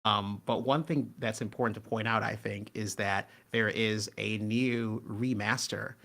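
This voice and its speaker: A man hosting casual live stream commentary, talking in a halting, rambling way and often cutting himself off. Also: on the low side, fairly even intonation, creaky, a bit bright. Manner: halting, rambling, self-interrupting. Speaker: host and show's writer.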